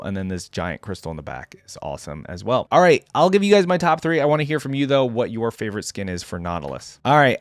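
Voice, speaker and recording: A man's voice: medium pitch, no echo. Chatty man reacting casually, close dry sound. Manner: chatty, addresses viewers directly. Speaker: host of a game character reaction video. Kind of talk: casual reaction commentary mixed with reading aloud.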